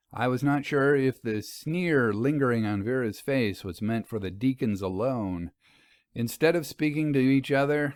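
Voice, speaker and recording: Measured male storyteller, dry close recording. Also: medium pitch, no echo. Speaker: storyteller, audiobook narrator. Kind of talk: scripted first-person story narration.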